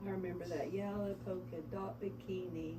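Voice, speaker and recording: A woman's voice: fairly low pitch, steady tone, normal speed. A woman with an Appalachian accent chatting casually with family and recalling old times. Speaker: relative joining the conversation. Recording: distant from mic, faint noise.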